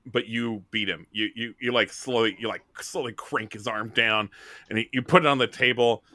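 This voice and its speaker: A man's voice: medium pitch, steady tone, normal speed, sharp tone, no room sound. A man hosting and narrating a tabletop game in a casual, improvised, conversational way.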